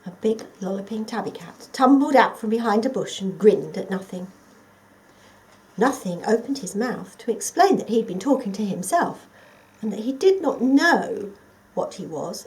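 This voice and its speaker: A woman reading a children's story expressively and dramatically, often dropping to a hush. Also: a little high, rough recording. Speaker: volunteer storyteller.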